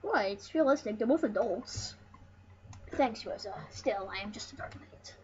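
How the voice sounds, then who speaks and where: An excitable, rambling host giving casual, jokey commentary over a video game. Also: medium pitch, moderate pace. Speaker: host of a gaming channel. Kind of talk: casual let's play commentary. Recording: dull lo-fi mic with loud noise.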